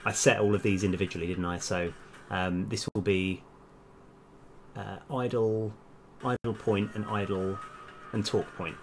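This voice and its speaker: A man's voice: lowish voice, steady tone, measured pace, soft background music. A man doing casual live-stream commentary as a game developer, thinking aloud in a hesitant, self-correcting way.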